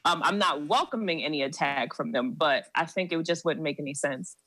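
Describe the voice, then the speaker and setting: A woman guest on a podcast, telling a personal story in a measured way with many pauses. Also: low-pitched, a bit bright, no room sound. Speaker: seminary student. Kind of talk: recounting a personal story in conversation.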